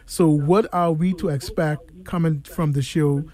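A man hosting a conversational religious radio interview, speaking haltingly and often repeating words. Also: fairly high pitch, steady tone, mellow. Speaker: radio host interviewing guests.

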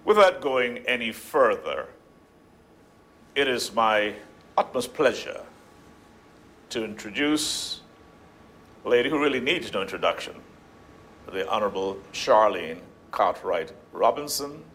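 A man's voice: fairly low pitch, sing-song, a little slow, long pauses, fairly bright tone, slight background noise. A man, hosting an interview and introducing his guest formally. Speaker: talk show host. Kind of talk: unscripted interview.

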